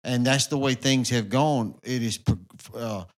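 A man's voice: mid-range voice, unhurried. A man, co-hosting a church podcast in a rambling, casual way full of "you know"s. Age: 40s. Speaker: podcast co-host.

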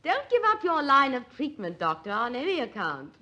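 Spoiled rich society woman, swooping pitch. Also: huge pitch swings, normal speed, pushed and bright, full character voice. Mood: teasing. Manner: haughty, teasing, theatrical. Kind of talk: 1940s radio drama acting.

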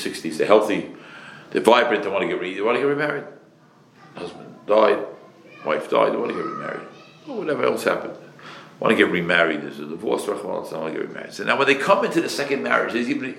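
A man's voice: on the low side, gravelly, a bit bright, slight background noise. Emphatic man preaching in an echoey room. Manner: emphatic, rhetorical questions, repeats phrases for effect; mixes in Hebrew and Yiddish terms. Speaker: rabbi giving a Torah lecture. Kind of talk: sermon to a live audience.

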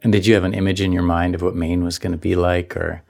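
A male interviewer with a very low voice and heavy fry asks gentle, probing questions. He keeps the tone conversational, steering the talk as host. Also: level tone, fast talker.

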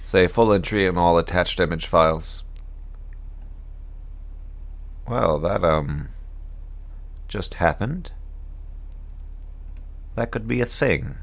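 A man's voice: low-pitched, expressive, slow, long pauses, exaggerated voice. A man playing a weary, bookish moonbase crewman who mutters wryly to himself in an audio drama log. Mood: startled, thoughtful. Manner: muttering to himself, wry and brooding. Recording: background noise under the voice.

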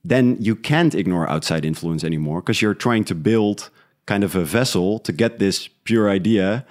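Low-voiced man in casual conversation. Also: normal speed, a little mellow. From Netherlands. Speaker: interview guest, audio drama creator.

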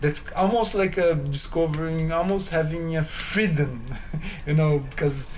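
A man's voice: on the high side, a little slow, a little hiss or hum. A man from Brazil with a Brazilian accent, speaking earnestly as a guest in a casual interview and searching for his words. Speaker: guest pianist.

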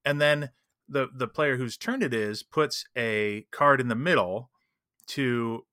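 Guy podcast co-host just chatting, bright forward voice, really dry close sound. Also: medium pitch, slow, no echo. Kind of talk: casual chat about tabletop role-playing.

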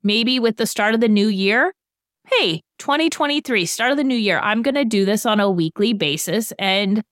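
A woman's voice: medium pitch, animated, moderate pace, dry recording. Dry, explanatory woman in her late thirties. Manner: explanatory, gives examples. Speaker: podcast co-host. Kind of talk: casual chat, explaining ideas.

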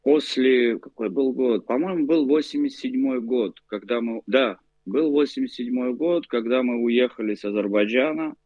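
A man in his forties from Azerbaijan, a guest telling his life story in a casual interview, halting with many fillers. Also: mid-range voice, no echo, dull audio. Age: 40s.

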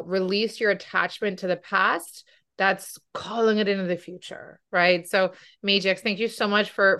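A woman's voice: lowish voice, a bit bright, clear, resonant tone. A woman hosting a podcast as a weight loss coach, talking with enthusiasm and often tagging her points with "right?". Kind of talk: podcast interview.

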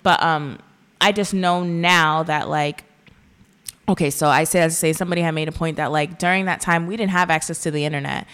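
A young woman co-hosting a podcast, speaking earnestly in a halting, casual way with many fillers. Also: low-pitched, steady tone, a bit bright, smooth. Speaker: podcast co-host.

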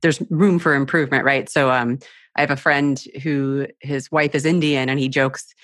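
A woman's voice: very deep voice, fairly even intonation, normal speed, fairly bright tone, dry recording, clean studio sound. Woman host summing up her guest. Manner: thinks aloud, sums up the guest's points before asking. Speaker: podcast host. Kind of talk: interviewing a guest.

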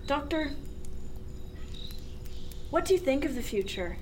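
A woman playing a wry, philosophical sailor in a radio drama, speaking in a measured, reflective way with frank skepticism. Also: sits high, expressive, a little mellow, slight background noise. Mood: frank, earnest.

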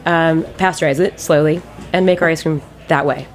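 A woman's voice: deep voice, fairly even intonation, moderate pace. A woman in her late thirties with an American accent, talking informally and emphatically as a guest in a casual radio interview, often repeating words for emphasis. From Ohio, United States. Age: late 30s. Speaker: ice cream maker and business owner, interview guest.

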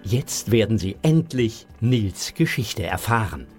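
A man narrating a children's audio drama in a measured storytelling manner. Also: fairly low pitch, very animated, a little mellow, faint music behind.

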